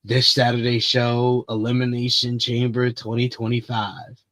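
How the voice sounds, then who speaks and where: A man hosting a wrestling preview talk show, commenting with animated, theatrical emphasis and drawn-out words. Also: mid-range voice.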